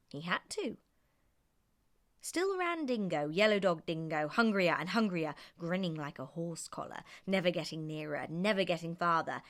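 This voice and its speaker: A woman's voice: fairly low pitch, a bit bright. A woman reading a children's story aloud in a theatrical, rhythmic sing-song way.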